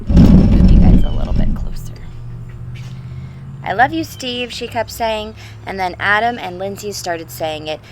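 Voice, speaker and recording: A woman's voice: fairly high pitch, slight background noise. A woman reading a storybook aloud to young children, expressively, with simple chatty asides and questions. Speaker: teacher reading a picture book aloud to children.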